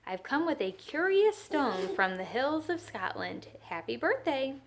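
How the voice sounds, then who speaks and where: A woman's voice: high-pitched, very animated, a little slow, a little hiss or hum, voice pushed up, exaggerated voice. A woman voicing a hearty, genial uncle from Scotland in a children's storybook, with jovial, folksy phrasing. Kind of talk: children's storybook read-aloud.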